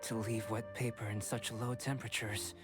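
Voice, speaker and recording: An actor playing a calm, aloof young male alchemist in a video game, speaking in a measured, formal and reserved manner. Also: lowish voice, natural voice. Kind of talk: scripted video game dialogue. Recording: background music under the voice.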